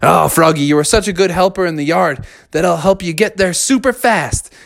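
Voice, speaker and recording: A storyteller voicing a kindly father frog who talks gently. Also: mid-range voice, moderate pace, gravelly, natural voice. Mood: cheerful.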